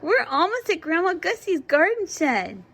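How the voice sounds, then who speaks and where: A woman's voice: very high voice, sing-song, moderate pace, a bit bright, slight background noise, voice pushed up, heavily acted. A woman voicing an eager young female duck adventurer from a children's storybook, calling out and asking questions.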